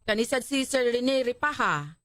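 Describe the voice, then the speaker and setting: A woman's voice: a little high, expressive, moderate pace, a bit bright, dry recording, studio quality. A woman with a Filipino accent co-hosting a radio show, with casual banter and reading of listener chats. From Philippines. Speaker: radio co-host. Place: radio studio.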